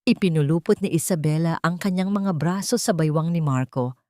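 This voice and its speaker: A woman's voice: low voice, fairly quick, a little mellow, no echo, clean studio sound. A woman narrator reading dramatic fiction as scripted storytelling.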